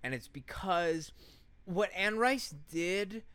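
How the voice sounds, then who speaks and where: A man with a bright, crisp voice tells a story as co-host of a comedy podcast. He is casual and sweary, leaning hard on key words. The sound is close and dry. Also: high voice, animated, no echo. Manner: profane, emphatic, deliberate storytelling.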